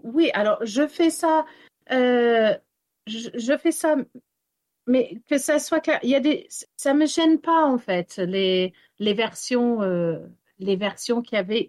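A woman's voice: on the high side, darkish tone, dry recording. A woman raised in Wales, with English as her first language, answering interview questions about tales and songs and hesitating with many fillers. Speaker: storyteller and singer.

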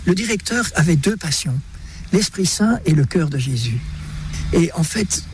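Un homme âgé à l'belge et à la voix un peu haute pour un homme raconte son histoire avec entrain et de petits rires. Léger souffle de fond. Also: male voice, on the high side, normal speed, slight background noise. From Belgium, raised in Brussels. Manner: lively storyteller, chuckles often. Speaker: interview guest. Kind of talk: radio interview, personal testimony.

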